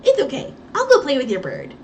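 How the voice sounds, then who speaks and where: A woman's voice: wildly swooping pitch, a little fast, a little mellow, a little hiss or hum, heavily acted. A woman doing an eager little boy. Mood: cheerful. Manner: childish, confident, high-pitched.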